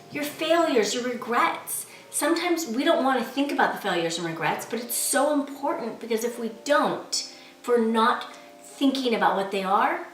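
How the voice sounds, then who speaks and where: A woman with a fairly high voice gives a casual, emphatic motivational talk as a vlog host. She sounds a little away from the microphone in a very echoey room, and she keeps checking in with the listener conversationally. There is some faint noise in the recording. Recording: echoey, noisy room, distant mic.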